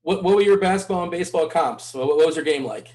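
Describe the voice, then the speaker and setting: A man's voice: a little high, fast, darkish tone. A man hosting a casual interview, asking questions in an upbeat, playful way. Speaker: host.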